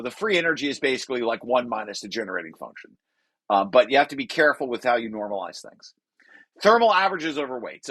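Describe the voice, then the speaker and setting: Bright-voiced man explaining a technical paper. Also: medium pitch, breathy edge, no room sound. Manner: explanatory, often breaking off to correct and rephrase. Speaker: author reading and explaining a research paper draft. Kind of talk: read-through lecture with informal asides.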